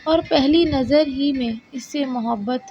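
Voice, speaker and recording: A woman tells a scripted children's story in a high voice. Her delivery is unhurried, and the slightly dull recording has music underneath. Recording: slightly dull, lo-fi narration track over music. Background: soft background music.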